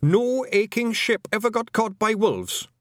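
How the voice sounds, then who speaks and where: A man voicing a tiny, nervous, excitable male pixie with a Scottish accent, gabbling in a storybook reading. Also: high-pitched, a little slow, dry recording, clean studio sound, voice pushed up. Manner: gabbling, shrieking, evasive.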